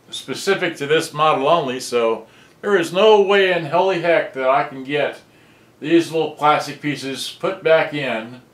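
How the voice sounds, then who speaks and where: A man casually walking through a cassette deck repair, rambling with halting restarts. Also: medium pitch. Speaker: electronics repair hobbyist. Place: room, filming photos on a monitor. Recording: roomy, distant camera audio.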